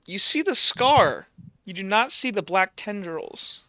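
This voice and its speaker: A man's voice: high voice, animated, measured pace, bright, forceful tone, no echo, lo-fi sound. A man working as game master, narrating a tabletop roleplaying game in a casual, sometimes profane way.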